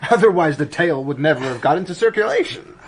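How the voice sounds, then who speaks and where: An actor playing an excitable, argumentative British man in a radio drama, eagerly protesting and questioning. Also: a little high, fast. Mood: eager scepticism.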